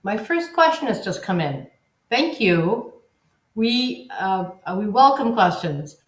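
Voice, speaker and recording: A woman's voice: mid-range voice, sing-song, slow, highs cut off. Upbeat woman on echoey webinar audio. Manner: upbeat, enthusiastic, loose and conversational. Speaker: webinar presenter, agency content officer. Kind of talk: live webinar presentation.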